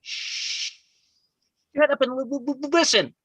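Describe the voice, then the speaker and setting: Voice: high voice, a little slow, fairly bright tone, no room sound. A performer voicing a bossy, mischievous child henchman with a heavy stutter in a live script read. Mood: irritated. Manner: heavy stutter on first sounds. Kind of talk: live amateur table read of a film script.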